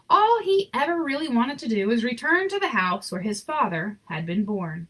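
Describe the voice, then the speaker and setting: A woman reading a children's picture book aloud in an expressive, informal way with chatty asides. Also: fairly high pitch, rough recording. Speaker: story reader.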